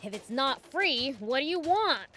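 Brash high-pitched little demon boy. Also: sing-song, unhurried, sharp tone, soft background music, full character voice. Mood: cocky. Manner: brash, impatient, bossy. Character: small brash cocky young male demon prince. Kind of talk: video game cutscene acting.

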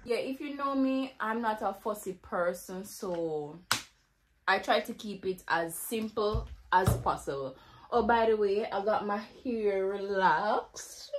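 A woman, chatting casually to camera at home in a relaxed, laughing way, filmed on a phone. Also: medium pitch, a little hiss or hum, rough recording. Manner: relaxed, laughing, slow and easygoing. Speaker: vlogger. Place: bedroom and bathroom of a home. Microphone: phone camera held close.

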